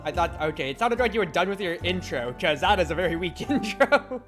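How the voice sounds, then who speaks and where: A woman's voice: lowish voice, expressive, a little fast, a bit bright. A woman hosting a casual game stream, talking in a chatty, rambling, playfully theatrical way. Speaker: streamer and host. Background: game music.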